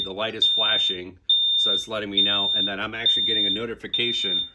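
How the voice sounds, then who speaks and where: Man, low-pitched voice, casual product review, informal step-by-step explaining. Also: pushed and bright.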